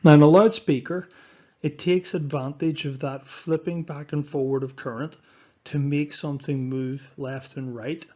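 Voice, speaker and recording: A man narrating a lesson as a science teacher, speaking in an instructive, deliberate way with emphatic stresses, on a dull recording. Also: a little high, fairly even intonation, dark tone. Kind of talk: scripted lesson narration over slides.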